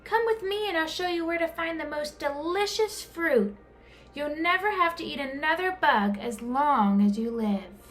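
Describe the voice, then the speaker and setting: Woman acting gentle storybook mother bat, high voice, lively swoops, emotional and reassuring. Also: moderate pace, soft background music. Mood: joyful, reassuring. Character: long-lost mother bat, tender, reassuring adult female.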